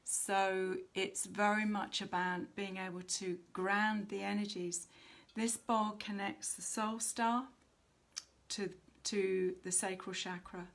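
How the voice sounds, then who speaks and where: A woman with a medium-pitched voice leads a spiritual card reading for her viewers. She speaks slowly and with care, often pausing partway through a sentence before carrying on. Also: level tone, fairly bright tone. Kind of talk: live spiritual oracle reading, addressing viewers.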